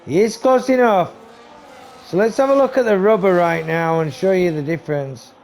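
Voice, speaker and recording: A man giving live commentary on a sim race, talking to viewers and losing his voice, with race car engines loud behind him. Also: normal speed, creaky. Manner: excitable, talks to viewers, rising to high bursts on action. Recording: noisy stream with engine sound. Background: race car engine noise.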